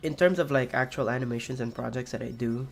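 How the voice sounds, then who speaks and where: A young man with a raspy voice, streaming a game and rambling casually with many fillers and restarts. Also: medium pitch, quick pace, soft background music. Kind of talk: casual chat while playing a game.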